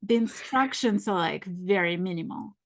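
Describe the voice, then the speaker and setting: A woman's voice: medium pitch, normal speed, gravelly. A woman hosting an interview podcast, asking questions in a casual, conversational way with many filler words. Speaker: podcast host.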